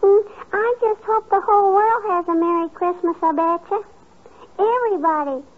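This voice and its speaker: A woman's voice: extremely high pitch, moderate pace. A woman playing a sweet, curious, chatty little girl in a 1950s radio comedy, repeating herself with childish questions. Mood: eager, delighted. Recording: muffled vintage 1950s radio recording.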